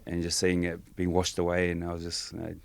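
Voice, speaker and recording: A Samoan-born man, a Samoan speaker, answering interview questions softly and hesitantly with many fillers. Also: deep voice, monotone, fairly quick, creaky, dry recording. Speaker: guest.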